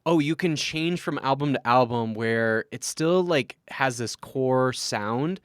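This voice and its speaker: A man's voice: mid-range voice, slow pace, clear, resonant tone, no echo, clean studio sound. A man co-hosting a podcast, chatting with eager, emphatic enthusiasm. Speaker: podcast co-host. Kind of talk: casual podcast chat.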